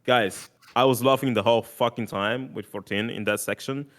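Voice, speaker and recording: A man whose mother tongue is Italian, talking in a loose podcast chat. He gets excited, laughs a lot and leaves sentences hanging. His voice sits at an ordinary middle pitch. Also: moderate pace. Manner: excitable, laughs often, trails off mid-sentence. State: sleep deprived. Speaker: podcast host. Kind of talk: casual chat.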